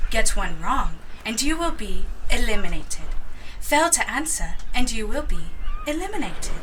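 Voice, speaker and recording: A woman's voice: on the high side, sharp tone, distant mic, slight background noise, poor audio. A woman playing a stern, formal mermaid who announces challenges in a fantasy audio drama. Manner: ceremonial, commanding announcements.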